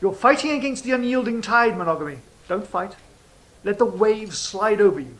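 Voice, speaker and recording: An actor playing a sly, cynical fallen angel in an audio drama, speaking in a measured, philosophical, teasing way. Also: medium pitch, expressive, natural voice. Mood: sardonic, philosophical. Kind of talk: theatrical audio drama dialogue and monologue.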